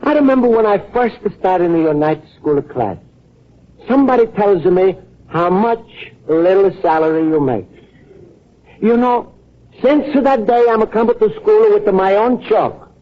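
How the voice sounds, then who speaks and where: An actor playing a naive, earnest, lovestruck Italian immigrant in an old-time radio comedy, speaking accented broken English. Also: male voice, high voice, unhurried. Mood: shy, adoring. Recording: muffled old radio broadcast recording.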